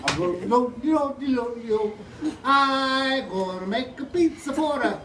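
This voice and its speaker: A woman's voice: on the high side, lively intonation, a little slow. A woman playing a brusque, grumbling pizza maker in broken English for an improvised comic puppet show. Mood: busy muttering. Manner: broken English with dropped words. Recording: roomy, distant mic with background noise.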